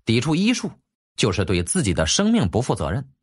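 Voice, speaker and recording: A man's voice: mid-range voice, wildly swooping pitch, a little fast, husky. A man narrating an audiobook in a dramatic, scripted storytelling style.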